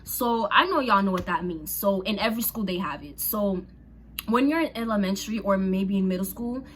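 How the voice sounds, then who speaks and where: A young woman from Haiti, a native speaker of Creole and French, telling a story to camera in a loose, chatty way. She is expressive and slangy, with plenty of fillers and exclamations along the way. Her pitch sits at a middle height for a woman, and there is a faint hiss behind her. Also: normal speed. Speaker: vlogger. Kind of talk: casual storytime chat to camera.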